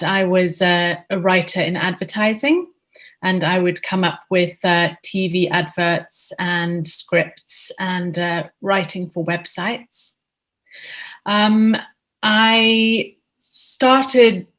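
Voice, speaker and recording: A woman's voice: medium pitch, a bit bright. A woman in her thirties from Zimbabwe, an author answering interview questions in a chatty way with many ums.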